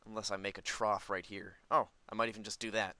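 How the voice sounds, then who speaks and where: A man with a fairly low, bright voice talks through a video game in a casual, unscripted way. He rambles and thinks aloud as he goes. The sound is dry and close. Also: little pitch movement, fast, no echo. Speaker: gaming video host. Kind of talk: casual, unscripted gameplay commentary.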